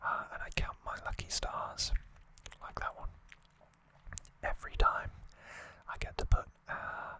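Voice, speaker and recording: This is an ASMR host who whispers from start to finish. The delivery is gentle and halting, at a slow pace. The whisper has a bright, sharp edge. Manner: hushed, unvoiced whispering throughout. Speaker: host of an anime trivia channel.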